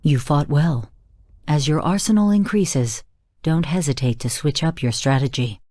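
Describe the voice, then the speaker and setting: Low, dark-voiced AI combat coach. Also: female voice, a little slow, no room sound, studio quality. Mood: coolly instructive. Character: cool, exacting female AI combat coach, bluntly critical. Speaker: game announcer and coach. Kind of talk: scripted game voice lines.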